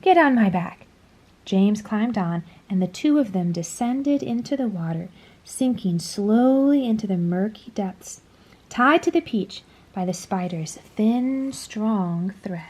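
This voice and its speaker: A woman reading a children's story aloud in a dramatic, deliberate storytelling manner. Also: mid-range voice, lively intonation, a little mellow. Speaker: storybook reader. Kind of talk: scripted children's story read-aloud, expressive.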